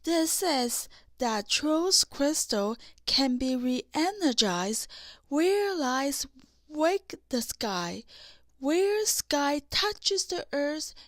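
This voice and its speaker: A woman voicing a composed, clever young ice queen with a calm, measured manner in a storybook. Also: high voice, dry recording, exaggerated voice. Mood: thoughtful. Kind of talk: children's storybook character reading.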